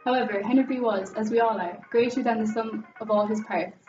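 Brisk young Irish woman in echoey room. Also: fairly high pitch, steady tone, a little mellow. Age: young adult. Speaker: student presenter. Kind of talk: scripted educational narration. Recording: muffled, roomy, distant mic, faint music. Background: music.

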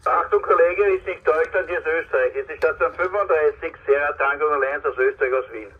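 A man's voice: a little high, fast talker, distant mic. Guy on cb radio, muffled sound, bit of static, casual operator talk. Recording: muffled noisy CB radio speaker outdoors.

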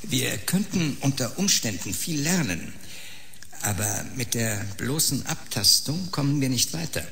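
In an echoey space, a man plays an insistent, ruthless researcher in a radio drama. His eager delivery has a lively pitch. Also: unhurried, vocal fry. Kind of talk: audio drama acting. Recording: echoey space, faint ambient noise.

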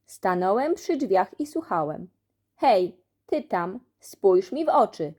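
A stern schoolmaster from a children's storybook, acted out by a woman. He gives orders deliberately and commandingly, with slow, emphatic, swinging intonation. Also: medium pitch, big pitch swings, darkish tone, breathy edge, full character voice. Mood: stern, threatening. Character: stern, moustached male school supervisor giving orders.

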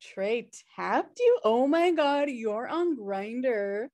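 A woman's voice: a little high, big pitch swings, drawn-out words, a little mellow, heavily acted. A woman playing a sardonic, pushy slacker sister in her thirties, dry and teasing, at a comedy table read on a video call. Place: home room on a video call.

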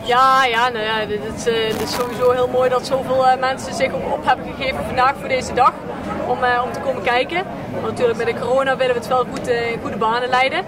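Brabant woman, 30s, talking fast about her bakery, loud crowd all around. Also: high-pitched, little pitch movement, very noisy. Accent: Brabant Dutch. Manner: quick, with frequent fillers. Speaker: bakery co-owner. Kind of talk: casual interview answers. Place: outdoors at a busy event. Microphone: boom mic on a stand.

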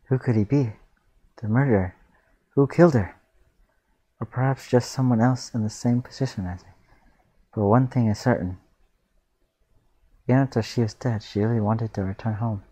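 Male online reader-reactor, mid-range voice, casual and expressive, close dry recording. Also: medium pitch, moderate pace, long pauses, husky, dry recording, rough recording. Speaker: reactor reading a horror comic aloud. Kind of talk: casual read-aloud with spontaneous reactions.

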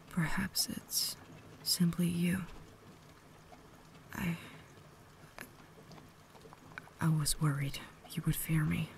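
A breathy, whispering vampire lady played by a woman, cultured and caring but holding herself back. Her delivery is formal and earnest, quite even in pitch, with a creaky edge. It is an ASMR roleplay with some faint noise behind the voice. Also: heavily acted. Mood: tormented, confessional. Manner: formal, measured, earnest diction.

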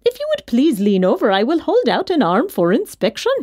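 Woman playing Ralph, a high, silky, prim monster. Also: wildly swooping pitch, a little fast, darkish tone. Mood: prim, courteous. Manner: formal, courteous. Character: Ralph, prim well-groomed male monster, courteous, professional.

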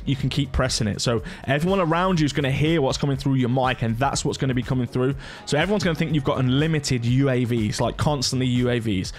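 Chatty man giving a casual software walkthrough. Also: mid-range voice, quick pace. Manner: chatty, informal. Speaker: gaming content creator presenting software. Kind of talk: casual tutorial commentary.

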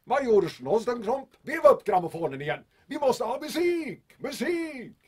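An actor playing a drunken, amorous German U-boat captain in an audio drama, exuberantly mixing German and Swedish. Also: male voice, extremely high pitch, very animated, slightly rough, soft background music, exaggerated voice. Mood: jovial.

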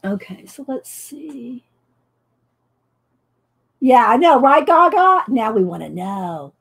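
A woman, chatting loudly while she paints and tells stories on her coloring livestream, laughing often and answering viewers. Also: a little high, big pitch swings, takes it slowly, husky. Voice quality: loud voice. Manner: chatty storyteller, laughs often, reads live chat. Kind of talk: casual chat while painting. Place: home craft table, indoors.

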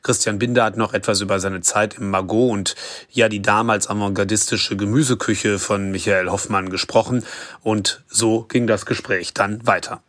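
A man with a fairly low voice reading a short scripted note calmly and evenly, like an editor's insert. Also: normal speed. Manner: calm, read aloud.

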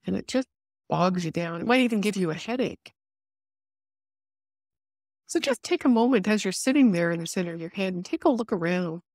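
A woman leading a guided meditation, giving soothing, unhurried instructions with pauses between phrases. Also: medium pitch, expressive, a little mellow, dry recording, studio quality.

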